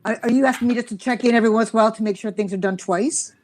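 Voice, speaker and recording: A woman's voice: fairly high pitch, very fast. Woman chatting on a noisy video call. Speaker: voice director hosting a cast table read. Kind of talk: casual chat on a group video call. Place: remote group video call.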